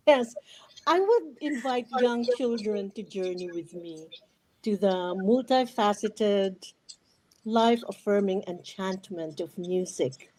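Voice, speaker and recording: A woman in her sixties with a Filipino accent, speaking reflectively and deliberately as an interview guest. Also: mid-range voice, darkish tone, poor audio. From Philippines. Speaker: podcast guest, retired educator.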